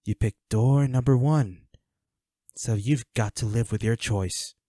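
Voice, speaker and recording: A man playing a flirty, menacing villain in an audio roleplay, speaking to the listener with taunting, mock-sympathetic, unhurried delivery. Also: medium pitch, warm tone, no room sound, clean studio sound, close to an everyday voice. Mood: smug, mock regret. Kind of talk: scripted audio roleplay acting addressed to the listener.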